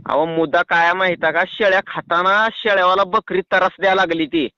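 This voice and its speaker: A man's voice: high voice, steady tone, brisk, pushed and bright, clear, resonant tone, no echo. A man with a rural Maharashtrian Marathi accent, talking colloquially and argumentatively as a farmer calling a doctor on the phone. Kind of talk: casual phone conversation. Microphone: phone call. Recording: muffled lo-fi phone call recording.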